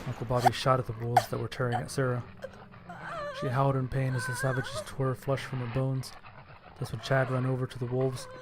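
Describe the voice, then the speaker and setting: A man reading scripted first-person horror fiction as its narrator, with a gravelly voice. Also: mid-range voice, level tone, fairly quick, very noisy.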